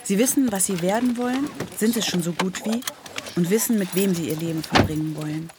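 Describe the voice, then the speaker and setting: A woman's voice: on the low side, brisk, background noise. A woman reporter narrating a scripted first-person account.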